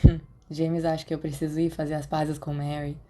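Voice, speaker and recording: A sullen, guarded teenage schoolboy with a Brazilian accent, voiced by a woman for an audiobook. He talks tersely in a subdued, lowish and very mellow voice. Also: level tone, brisk, natural voice. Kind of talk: audiobook character dialogue.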